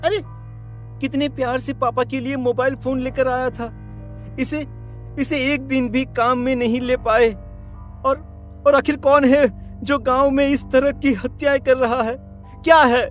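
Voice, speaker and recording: Man playing a high-pitched, earnest young villager. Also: steady tone, measured pace, soft background music. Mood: grieving, determined. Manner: earnest, hesitant repetitions. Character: distressed, determined young village man seeking answers. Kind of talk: dramatised horror cartoon story.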